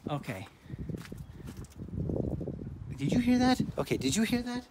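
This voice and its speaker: Animated, hesitant man in his thirties. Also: extremely high pitch, noisy background. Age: 30s. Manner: hesitant, halting, playfully dramatic. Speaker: vlog host. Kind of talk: casual first-person video tour. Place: at home indoors, then outdoors and inside an old barn. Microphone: handheld phone at arm's length.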